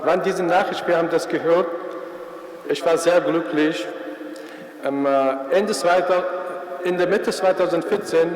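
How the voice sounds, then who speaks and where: A man from Afghanistan with an Afghan accent, telling his own story, halting and searching for words with many fillers. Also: on the high side, fairly even intonation. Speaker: former military interpreter giving testimony. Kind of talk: personal account at a concert event. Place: large church. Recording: echoey church hall from far off.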